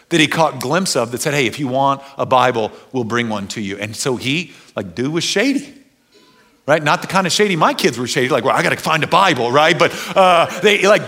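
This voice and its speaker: A middle-aged American man telling a story as a preacher, informal and conversational, often repeating words and acting out dialogue. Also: mid-range voice. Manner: animated retelling with quoted dialogue, repeats words, informal slang. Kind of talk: storytelling sermon to an audience.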